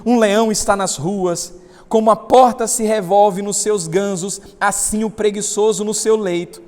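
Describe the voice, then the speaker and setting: A man preaches a sermon in a high, emphatic voice. He swells louder on the passages he reads. A long reverb hangs behind him. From Salvador, Bahia, Brazil. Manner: emphatic preaching, swelling loud on scripture readings. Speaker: pastor.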